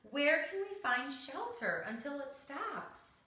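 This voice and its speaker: A woman's voice: sits high, big pitch swings, slow pace, bright, forceful tone, voice pushed up, heavily acted. Woman playing an eager little girl mouse, echoey room. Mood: worried. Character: young girl mouse, eager, worried, kind-hearted. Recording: roomy, echoing home recording.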